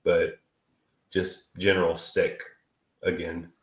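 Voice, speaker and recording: Sniffly, crude, joking co-host in his thirties, low voice, roomy echo. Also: monotone, slow. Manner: crude, joking, swears freely. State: stuffy and sniffly from a cold. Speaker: co-host of a live stream. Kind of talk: casual chat. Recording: echoey room.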